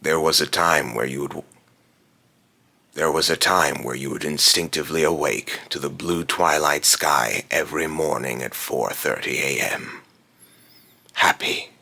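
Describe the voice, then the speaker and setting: Man voicing a very deep, raspy, brooding spirit. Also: pushed and bright, exaggerated voice. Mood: wistful, brooding. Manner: slow, deliberate, solemn. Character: Phobos, brooding otherworldly male spirit of fear and death. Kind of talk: voice line recording with retakes.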